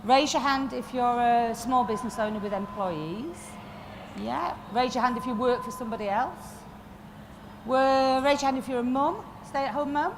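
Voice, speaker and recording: A woman in her fifties with a Yorkshire accent, giving a blunt, lively motivational talk to a live audience. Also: on the high side, normal speed, background noise. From Lancashire, England. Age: 50s. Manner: lively, blunt and swearing, asks the crowd questions. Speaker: motivational speaker. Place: expo stage before a live audience.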